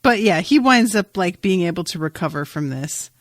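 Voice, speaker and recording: A woman's voice: fairly low pitch, moderate pace, no room sound, studio quality. A woman hosting a podcast, casually recapping a TV episode in a wry, sweary way and acting out bits of dialogue. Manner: wry, sweary, animated retelling with acted-out quotes. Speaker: podcast host.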